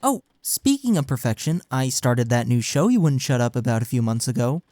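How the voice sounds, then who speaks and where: A man's voice: mid-range voice, sing-song, a little fast, darkish tone, a little hiss or hum, lightly acted. A young man acting in an intimate audio roleplay as a caring, teasing college best friend, talking casually and earnestly. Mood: playful, chatty. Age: young adult.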